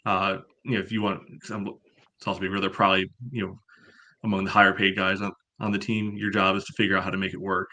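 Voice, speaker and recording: A man's voice: low voice, flat delivery, quick pace, pushed and bright. A man giving blunt, matter-of-fact opinions as a panelist on a casual sports podcast.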